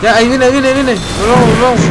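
Casting: Chilean man, high-pitched, bossy slangy gamer chat over loud game music. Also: fairly even intonation, racing, a loud crowd, rough recording. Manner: slangy, swearing, giving orders to teammates. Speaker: gamer leading a group playthrough. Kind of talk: casual gaming chat with friends. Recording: game sound loud behind voice. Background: loud video game music and effects.

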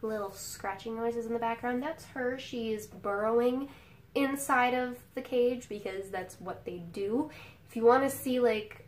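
A woman's voice: fairly high pitch, slight background noise. A young woman beauty vlogger with a sick, nasal voice, chatting casually in her bedroom while doing her makeup, rambling with lots of fillers. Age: young adult. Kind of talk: casual makeup tutorial chat. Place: bedroom at home, indoors.

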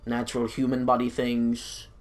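A man's voice: mid-range voice, monotone, normal speed. Stuffed-up young man rambling over gameplay. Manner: rambling, self-deprecating, apologetic. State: stuffed-up nose and throat. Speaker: gaming YouTuber. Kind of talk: casual gameplay commentary.

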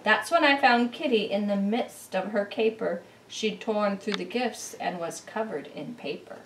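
A middle-aged woman giving an expressive, deliberate picture-book read-aloud as a children's presenter. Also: medium pitch, a little hiss or hum. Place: indoor living room beside a Christmas tree. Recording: roomy, distant camera microphone.

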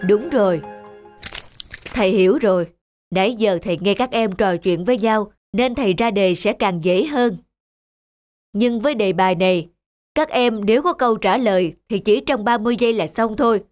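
A man voicing a calm, kindly schoolteacher in a children's cartoon, speaking in a measured, instructive way. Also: high voice, soft background music, lightly acted. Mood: calm, firm.

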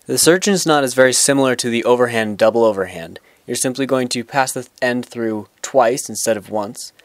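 A young man explains knots step by step in a plain, instructional voice of medium pitch. He now and then restarts a sentence mid-thought. The sound is dry and close, with little room around it. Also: a little fast, no room sound. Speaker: knot-tying instructor. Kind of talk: step-by-step tutorial. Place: outdoors on grass.

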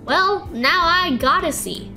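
A woman voicing a brash, stubborn teenage sibling in a visual novel, loud and pushy. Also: extremely high pitch, lively intonation, slow pace, soft background music, voice pushed up, heavily acted. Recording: clear background noise.

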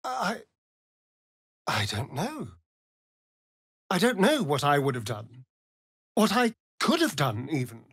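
A sly vampire rogue for a fantasy video game, British and flamboyantly camp. He drawls slowly and teasingly, his pitch swooping theatrically from line to line. The recording is dry and close, with a sardonic, arch delivery throughout. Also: huge pitch swings, fairly bright tone, husky, no room sound, full character voice. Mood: pensive.

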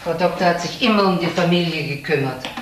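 Actress as indignant loyal housekeeper, crime drama; roomy sound, slight noise. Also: female voice, fairly low pitch, fast, natural voice. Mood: loyal, defensive. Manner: defensive, earnest. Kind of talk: German crime drama acting. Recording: roomy echo, faint noise.

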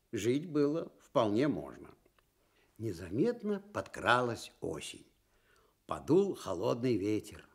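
Slow, gravelly male fairy-tale storyteller. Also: mid-range voice, a little mellow. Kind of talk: expressive scripted children's fairy-tale narration for radio.

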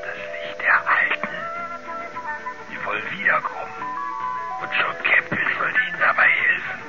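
An actor playing an eerie, half-mad old drunkard, breathy, fervent and ominous, in a radio drama. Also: male voice, unhurried, over loud music, natural voice. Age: older. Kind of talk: 1970s German radio drama acting. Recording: muffled 1970s radio play recording.

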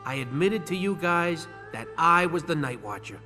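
A gruff hot-headed turtle. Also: male voice, on the high side, lively intonation, normal speed, sharp tone. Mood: brooding. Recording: echoing game cutscene over music.

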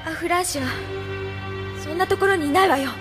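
A woman's voice: extremely high pitch, brisk, music in the background. A woman playing a brave, alarmed young resistance fighter in a Japanese audio drama, emotive and defiant. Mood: defiant, anxious.

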